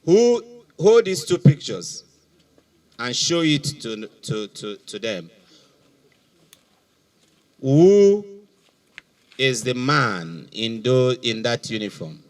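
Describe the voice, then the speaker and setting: Authoritative Nigerian police officer questioning. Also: male voice, mid-range voice, sing-song, slow, long pauses, recorded from a distance. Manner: authoritative, probing. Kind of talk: public interrogation at a press briefing. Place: outdoors in a police compound. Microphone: handheld microphone.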